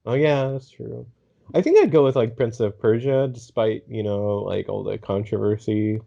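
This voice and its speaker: A man's voice: lowish voice, moderate pace, gravelly, mellow. A man chatting casually on a podcast panel in an understated, hesitant way, on a muffled recording. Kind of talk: casual chat. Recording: dull, muffled lo-fi sound.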